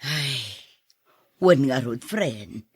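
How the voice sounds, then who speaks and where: A woman playing an older single auntie in a radio drama, with an Ilocano accent and a husky voice. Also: very deep voice, lively intonation, very slow, dry recording, clean studio sound, full character voice. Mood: wistful, curious. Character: older aunt, lonely single woman seeking old flame.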